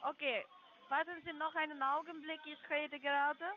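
A call center agent making a sales call in halting, full of fillers. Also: high-pitched, little pitch movement, a little hiss or hum. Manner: hesitant, halting, many fillers. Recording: muffled phone line.

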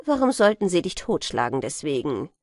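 A woman's voice: low-pitched, brisk, no room sound, studio quality. A woman doing an easygoing teasing journeyman smith. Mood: reassuring. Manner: calm, mocking, reassuring, lively intonation. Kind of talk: audiobook character voice.

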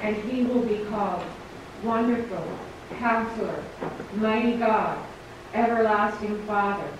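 A middle-aged woman reads scripture aloud in a steady, deliberate way. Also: medium pitch. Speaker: Advent reader. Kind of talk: scripture reading. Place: small church sanctuary, standing at the front. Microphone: stand mic, far camera. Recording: echoey church room from a distant camera, background noise.

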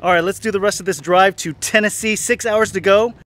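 A man in his forties vlogging in an excitable, animated, jokey way, filming himself in a car and on a boat. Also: high voice, fairly quick, music in the background. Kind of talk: travel vlog narration. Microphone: camera held at arm's length.

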